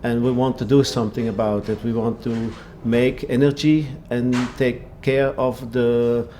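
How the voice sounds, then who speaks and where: A man who is a former coal miner, speaking haltingly in a documentary interview. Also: mid-range voice, flat delivery, warm tone, a little hiss or hum. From Belgium. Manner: halting, searching for words. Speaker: former coal miner, energy cooperative founder.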